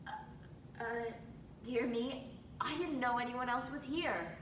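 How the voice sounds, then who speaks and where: A woman voicing a blunt, eager, awkward but friendly teenage tomboy girl in a dramatic reading of a classic novel. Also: slow. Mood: flustered, polite. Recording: roomy, distant mic with rumbling noise.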